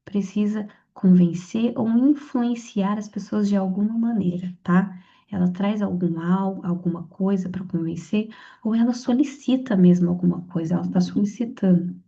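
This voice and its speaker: A young Brazilian woman, hoarse from a cold, chatting through her exam study notes with lots of fillers and restarts. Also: mid-range voice, moderate pace, few pauses, dark tone, highs cut off. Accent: Brazilian Portuguese. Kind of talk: casual study lesson to camera.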